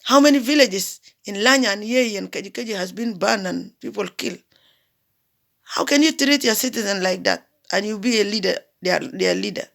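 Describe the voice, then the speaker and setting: A woman delivers an impassioned public appeal. Her voice is gravelly, with a mid-range pitch. She drives her points home by repeating phrases and firing rhetorical questions. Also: normal speed, a bit bright. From South Sudan.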